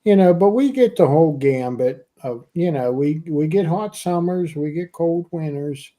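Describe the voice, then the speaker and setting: Man from Pennsylvania, casual host, mellow tone, chatty, laughs easily. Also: a little high, lively intonation, normal speed. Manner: chatty, warm laughs, many "you know" fillers. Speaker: podcast host. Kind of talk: casual chat on a livestream.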